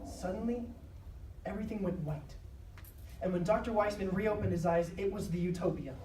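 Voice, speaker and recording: A woman's voice: mid-range voice, moderate pace. A woman narrator reading a scripted dramatic story live. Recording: echoey live stage, distant noisy mic.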